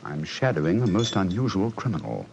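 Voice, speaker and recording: A suave, analytical English detective in an old radio drama. His low British voice moves widely in pitch, precise and theatrical. The recording sounds dull, with faint noise. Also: very animated, brisk, vocal fry, darkish tone, exaggerated voice. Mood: intent deduction. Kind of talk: 1940s radio acting.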